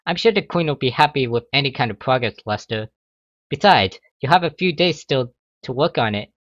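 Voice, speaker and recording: Unicorn mother, voiced by a male reader: calm, cooing, reassuring, for a cartoon pony story. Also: medium pitch, fairly quick, no room sound, lo-fi sound, natural voice. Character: doting, calm unicorn mother and school official.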